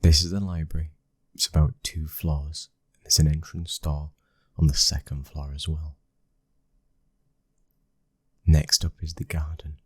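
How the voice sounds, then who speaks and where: Man playing British mafia prince, bottom-of-the-range pitch, loving yet commanding, breathy, intimate ASMR roleplay, close and dry sound. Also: level tone, moderate pace, few pauses, a little mellow, dry recording. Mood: relaxed, affectionate. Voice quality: breathy, husky. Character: smooth-talking, loving, dominant young mafia boss's son. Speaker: audio roleplay voice actor. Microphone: close microphone.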